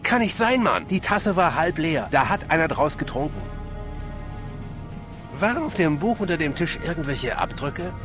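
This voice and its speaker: A man's voice: a little high, big pitch swings, moderate pace. A man playing an easygoing, joking police detective who is always broke, in an audio drama. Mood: casual. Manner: joking, casual, slangy. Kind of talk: audio drama acting of a video game story. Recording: music under the voice.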